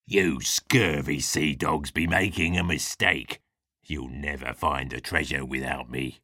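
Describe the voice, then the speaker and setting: A hearty pirate captain from a children's storybook, very low and forceful. He talks in a salty West Country pirate burr, theatrical and growly, and takes his time over the words. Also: steady tone, unhurried, slightly rough, no room sound, clean studio sound, exaggerated voice. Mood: defiant. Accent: stage West Country pirate. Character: gruff hearty old-salt pirate captain, a scheming grown man. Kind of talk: acted children's storybook dialogue.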